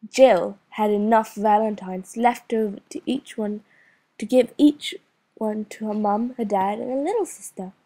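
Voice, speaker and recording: A woman narrating a children's storybook in a slow, expressive reading with lively emphasis. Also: medium pitch. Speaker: audiobook narrator.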